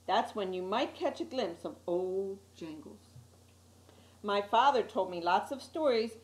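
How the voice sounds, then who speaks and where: A woman reading a children's picture book aloud as an expressive storyteller, with little asides to her listeners. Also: medium pitch. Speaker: story time reader. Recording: echoey room.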